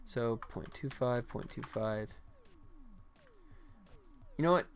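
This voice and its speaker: A man's voice: medium pitch, slow, smooth. Casual American man thinking aloud. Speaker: livestream host, game developer. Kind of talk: casual livestream chat while working. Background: music.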